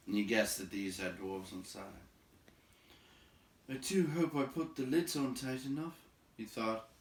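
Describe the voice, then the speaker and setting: A man reading a storybook aloud as narrator, with expressive, measured phrasing. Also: fairly low pitch. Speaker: audiobook-style reader. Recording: echoey room.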